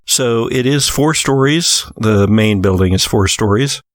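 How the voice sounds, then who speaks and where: A man narrating a tabletop horror role-playing game as its game master, describing scenes with frequent hesitant pauses. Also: mid-range voice, no room sound, clean studio sound.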